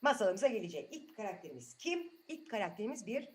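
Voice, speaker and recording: A woman's voice: medium pitch, sing-song, quick pace, a little mellow. A woman telling a story to children in an improvised, chatty way, asking the listeners questions as she goes.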